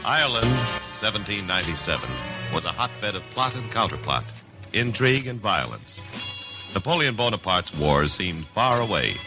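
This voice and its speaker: A man's voice: on the low side, big pitch swings, moderate pace. A man announcing and narrating a radio drama in a dramatic, scripted 1950s broadcast style. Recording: old radio broadcast with music.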